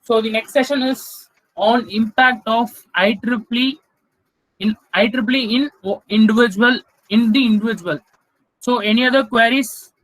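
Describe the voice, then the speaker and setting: A man's voice: very high voice, moderate pace, fairly bright tone, no room sound, rough recording. A young man with an Indian English accent coordinating a webinar Q&A over a video call, polite, saying "sir" often and stumbling over words. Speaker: student event coordinator. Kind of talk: hosting a webinar Q&A.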